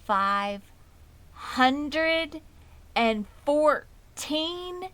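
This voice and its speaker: Storybook casting: excitable, innocent little boy pig, eager and affectionate, pitched up by a woman reader, unhurried delivery. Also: dry recording, heavily acted. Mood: amazed. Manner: eager, wide-eyed questioning.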